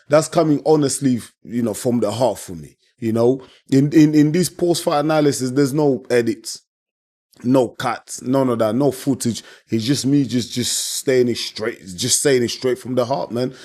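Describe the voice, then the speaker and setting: A man giving a casual, passionate post-fight reaction as a channel host, his voice hoarse and worn out from shouting. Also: mid-range voice, moderate pace. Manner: passionate, repeats himself, keeps saying "man".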